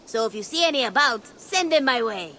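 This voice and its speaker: A cheerful traveling merchant from a video game greets visitors warmly. The voice is high and bright, and the welcome sounds easy and friendly. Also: female voice, lively intonation, a little fast. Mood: welcoming.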